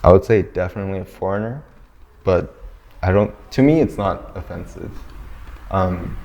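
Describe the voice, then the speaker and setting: A man's voice: deep voice, normal speed, darkish tone, dull audio. A young man raised in Japan whose first language is Bengali, chatting casually in a laid-back way full of fillers. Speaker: discussion participant. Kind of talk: casual discussion. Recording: roomy echo, faint background hum.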